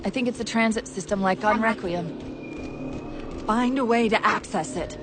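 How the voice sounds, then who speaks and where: A woman playing a devoted AI companion in a video game, urgent and earnest as she slowly breaks down. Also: mid-range voice, lightly acted. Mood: subdued, guiding. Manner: anxious, earnest, technical phrasing. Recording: loud game music behind.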